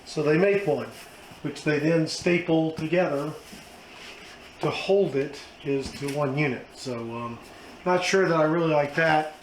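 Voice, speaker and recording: A hoarse man, hosting a casual unboxing vlog with plenty of fillers. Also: on the high side, measured pace. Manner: chatty, self-deprecating, many fillers. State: hoarse, losing his voice. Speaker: car channel host. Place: outdoors then indoors at home. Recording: echoey room with background noise.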